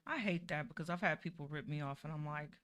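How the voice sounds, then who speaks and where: A woman hosting a casual interview in a recording studio, relaxed and chatty, peppering her talk with "you know what I'm saying.". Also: deep voice, fast talker. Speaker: host.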